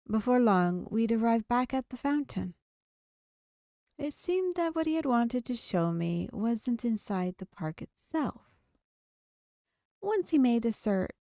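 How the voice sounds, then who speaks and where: Mellow-voiced woman reading a story aloud. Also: fairly high pitch, no echo, clean studio sound. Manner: occasionally stumbles over words and rereads. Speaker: streamer reading a visual novel aloud. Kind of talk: read-aloud story narration.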